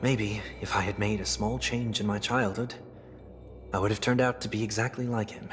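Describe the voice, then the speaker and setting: An actor playing a melancholic, refined young man in a game cutscene, speaking in measured, poetic, wistful phrases. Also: fairly low pitch, fairly even intonation, fairly bright tone, lightly acted. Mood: rueful. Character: melancholic young male fallen idealist, refined and soft-spoken. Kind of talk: dramatic video game cutscene acting. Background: music.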